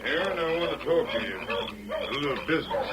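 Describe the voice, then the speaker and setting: An actor playing a scheming, vengeful settler in an old radio western, with a hard folksy western drawl and mocking manner. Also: male voice, fairly high pitch, lively intonation, fairly quick, a loud crowd, close to an everyday voice. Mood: cold, demanding. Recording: noisy vintage radio recording.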